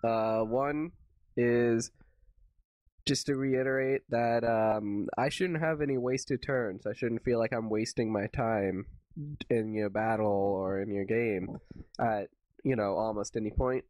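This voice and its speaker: A man co-hosting a casual podcast, sounding nasal from a cold and speaking haltingly with fillers. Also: on the low side, steady tone, darkish tone, dry recording. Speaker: podcast co-host.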